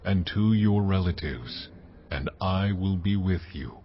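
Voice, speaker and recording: A calm, commanding God in a Bible drama. The voice is very low and deliberate, with slightly muffled sound. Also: male voice, slight background noise. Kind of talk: dramatized audio Bible acting.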